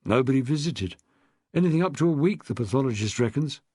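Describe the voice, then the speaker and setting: A man's voice: mid-range voice, animated, a little fast, dark tone, breathy edge, no echo, clean studio sound. Man doing a terse police officer. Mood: grim. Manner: terse, clipped answers. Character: guarded middle-aged male police officer.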